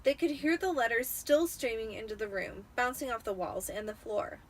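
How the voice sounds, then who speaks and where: Tipsy woman reading a story, higher-pitched. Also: lively intonation, moderate pace, slight background noise. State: tipsy after drinking wine. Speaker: amateur storybook reader. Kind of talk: dramatic audiobook-style story reading.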